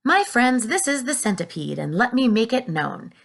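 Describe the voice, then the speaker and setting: A woman's voice: on the high side, big pitch swings, moderate pace, vocal fry, voice pushed up, full character voice. A woman voicing a cheerful, friendly small boy reciting rhymes in a storybook. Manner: eager, sing-song rhyming.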